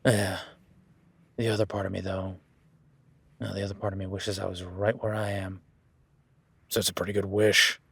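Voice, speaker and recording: A man's voice: deep voice, level tone, normal speed, vocal fry, pauses often, a bit bright, breathy edge, heavily acted. A man voicing an easygoing male cowboy ranch hand in an audio roleplay, talking in a laid-back, folksy way with casual swearing.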